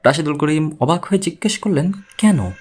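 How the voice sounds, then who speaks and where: A man reading a story aloud as an audiobook narrator, in an expressive scripted style. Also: on the high side, fast, faint music behind.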